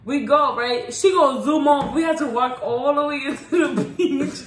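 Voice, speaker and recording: A woman's voice: high-pitched, moderate pace, a loud crowd. A young woman chatting casually as a storytime vlog co-host, retelling events in a giggly, slangy, repetitive way. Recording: echoey room, background noise.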